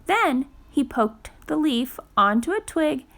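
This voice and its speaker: A woman reading a children's storybook aloud in a careful, gentle, expressive storytelling style. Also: high voice, slow, creaky. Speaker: storytime reader.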